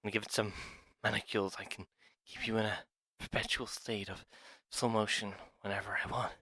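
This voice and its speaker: A man's voice: lowish voice, level tone, a little fast, bright, forceful tone, no echo. A man playing an eager, obsessive young thief in an ASMR roleplay, speaking in an urgent, stammering, clingy way. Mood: explaining, reluctant.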